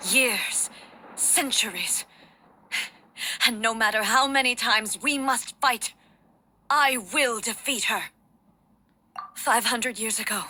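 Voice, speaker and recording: Stern warrior goddess in a game, formal n stately, forceful bright voice w a husky edge. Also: female voice, a little high, full character voice. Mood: resolute. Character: solemn, resolute female deity-warrior ruler sworn to eternal duty. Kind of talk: scripted dramatic game dialogue.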